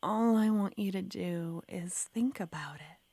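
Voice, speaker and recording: A woman voicing a clingy, menacing yandere witch in an ASMR roleplay, speaking in a soft, coaxing whisper. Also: medium pitch, a little slow, husky, no echo.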